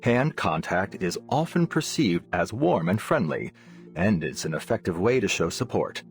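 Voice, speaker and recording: Nonhuman scientist, eccentric, low-pitched, very lively intonation, precise, bookish, officious, game character. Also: male voice, moderate pace, vocal fry, soft background music, full character voice. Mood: reflective, chatty. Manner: animated, fussily precise, scholarly. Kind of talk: video game character voice lines.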